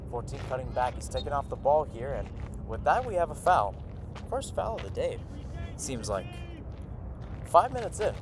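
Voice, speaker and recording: A man calling live soccer play-by-play for radio in a conversational way, speaking outdoors beside the pitch. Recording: noisy outdoor field with traffic-like ambience. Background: clear outdoor ambient noise.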